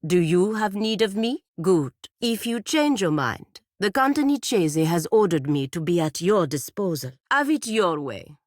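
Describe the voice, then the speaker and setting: Stern patriotic warrior, low female voice, formal, earnest, measured, very dry recording, fantasy game. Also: very animated, no room sound. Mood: formal, reserved. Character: proud, stern, devoted patriot warrior woman of a fantasy republic. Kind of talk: scripted video game character dialogue.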